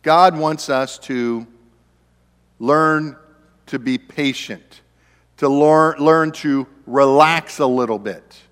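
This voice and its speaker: Pastor in his fifties: a high, unhurried man's voice with easy asides, ringing through a reverberant church hall. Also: fairly bright tone, clear, resonant tone. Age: 50s. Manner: conversational, chatty asides to the congregation. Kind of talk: sermon. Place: church sanctuary.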